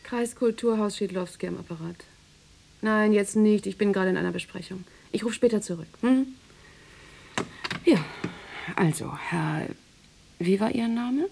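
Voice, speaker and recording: A woman in a radio play acting a brisk, guarded director of an East German cultural centre, businesslike and impatient. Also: medium pitch, gravelly, noisy background, natural voice. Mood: brisk, wary.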